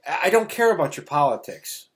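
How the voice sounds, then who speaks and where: Male review host, middle-aged, higher-than-average pitch, exasperated and opinionated, casual delivery. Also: very animated, fairly quick. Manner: blunt, scornful, exasperated. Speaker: host of a movie review show. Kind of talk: casual opinionated movie rant.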